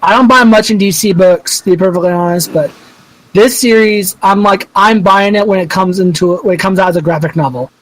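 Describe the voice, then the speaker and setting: A man's voice: sits high, brisk, low-quality recording. A man in his twenties in casual podcast chat, rambling and swearing freely as a co-host. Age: 20s.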